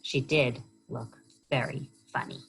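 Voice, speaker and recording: A woman reading a children's story aloud as a library storyteller, expressive and often pausing to put questions to young listeners. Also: rumbling low, gravelly, soft background music.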